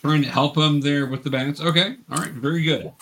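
A man's voice: fairly high pitch, steady tone, fairly quick, a bit bright, slight background noise. A man acting as game master, patiently explaining rules and narrating a tabletop fantasy game.

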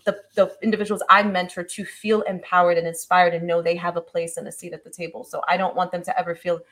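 A woman's voice: on the low side, level tone, quick pace, fairly bright tone. A woman, a CEO and TV host, answering interview questions in an upbeat, motivational way, often saying yes, and speaking fluently with a few fillers.

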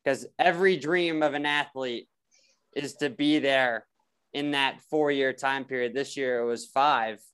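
A man hosting a casual sports conversation, relaxed and conversational. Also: medium pitch, measured pace, smooth. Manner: relaxed, conversational, hesitant restarts. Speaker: host.

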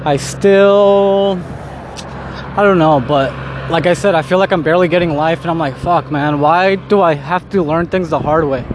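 A man's voice: a little high, moderate pace. A man in his thirties, born in Mexico and raised in Southern California, talking to himself in a loose, rambling way with lots of fillers while walking home. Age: 30s. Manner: rambling, frequent fillers and restarts, self-deprecating. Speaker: vlogger. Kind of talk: casual walking monologue. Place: outdoors on a street, walking home. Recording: outdoor street ambience with traffic. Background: faint street and traffic noise.

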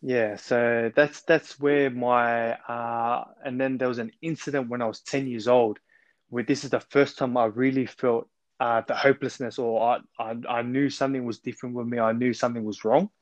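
A man's voice: medium pitch, fairly even intonation, moderate pace, dry recording, dull audio. A man in his twenties with an Australian accent, a podcast guest on a remote call, telling his story earnestly with many ums and restarts. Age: 20s.

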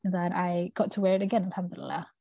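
A woman from Singapore with a Singaporean accent, talking casually as an interview guest, often halting to find her words. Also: on the low side, creaky, slightly rough. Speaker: podcast guest, family trauma coach. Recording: roomy, slightly dull audio.

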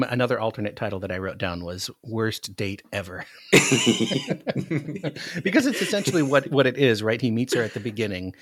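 A man's voice: on the low side, no room sound, clean studio sound. A man guesting on a podcast, discussing books casually in a wry, emphatic way. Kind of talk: casual book discussion chat.

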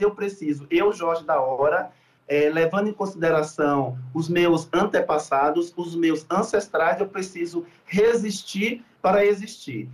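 A man from Salvador, a podcast guest talking in an earnest, reflective way with many fillers. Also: high-pitched. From Salvador, Bahia, Brazil. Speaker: guest, food researcher. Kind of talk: podcast interview.

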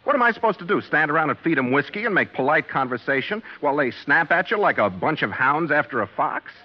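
An American actor playing a jealous, sardonic boyfriend with clipped delivery in a 1950s radio drama. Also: male voice, a little high, very animated, fast. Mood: bitter, angry. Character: jealous, sardonic boyfriend stuck tending bar. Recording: dull, lo-fi old radio recording.

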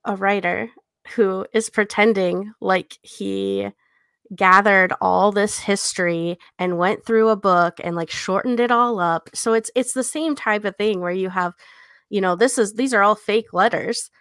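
Woman on a book podcast, lots of vocal fry, super casual, into it, really dry sound. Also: mid-range voice, measured pace, a bit bright, no room sound. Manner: informal, enthusiastic, conversational. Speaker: podcast co-host, book editor. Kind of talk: casual chat about books.

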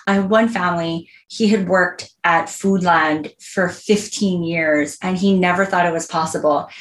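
A woman's voice: lowish voice, fairly even intonation, unhurried. An American woman giving an earnest, heartfelt interview answer, telling stories conversationally with plenty of "like" and "you know". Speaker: interview guest, home loan professional. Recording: roomy echo on the voice.

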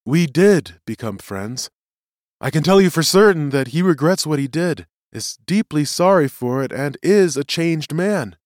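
A man playing a gentle, earnest prisoner in an audiobook, reasoning sincerely. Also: a little high, lively intonation, measured pace, no room sound, clean studio sound, voice pushed up. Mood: sincere, pleading. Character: earnest, gentle male prisoner sympathetic to humans.

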